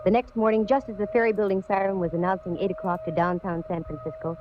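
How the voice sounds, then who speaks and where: An actress playing a breezy, self-assured, hard-boiled private detective in 1940s radio style. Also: female voice, medium pitch, fairly even intonation, quick pace, close to an everyday voice. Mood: wry narrating. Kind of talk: 1940s radio detective acting, narration and dialogue. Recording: muffled, noisy old radio recording.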